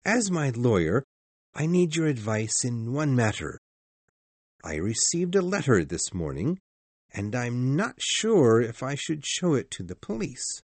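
A man acting a grave, formal gentleman in a gothic mystery audiobook, speaking in a deliberate, earnest way. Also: medium pitch, sing-song, no echo, exaggerated voice. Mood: earnest, insistent. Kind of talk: dramatised audiobook dialogue.

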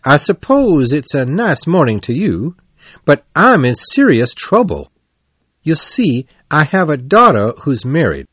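A man's voice: a little high, wildly swooping pitch, measured pace, fairly bright tone, slightly rough, dry recording, voice pushed up, heavily acted. A man voicing a boastful male toad disguised as a washerwoman, chattering in a fussy, put-on old-woman manner in a storybook reading.

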